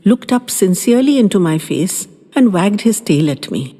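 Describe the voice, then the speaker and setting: A woman reading first-person audio fiction in an expressive, measured, literary way with deliberate pauses. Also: mid-range voice, slightly rough, big-room sound. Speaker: storyteller, podcast narrator.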